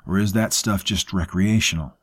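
A sardonic mercenary teases his comrade, as a male narrator puts him on. The voice is low and dry with mockery. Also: fairly even intonation, normal speed, fairly bright tone. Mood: mocking. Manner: sardonic, mocking.

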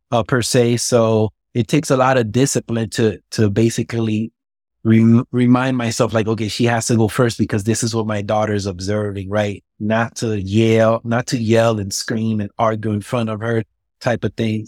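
A man's voice: on the low side, level tone, moderate pace, a little mellow, no room sound. Candid male guest, dry close sound. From Miami, USA. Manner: candid, explains with personal examples. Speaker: guest, businessman and ex-boxer. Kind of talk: conversational interview.

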